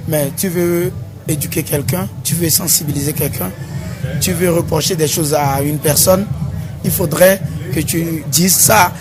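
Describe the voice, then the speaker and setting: A man, a singer, giving a relaxed, informal interview at a nightclub after his show. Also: on the high side, measured pace. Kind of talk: casual interview at a concert venue. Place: nightclub at a concert. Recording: music behind voice.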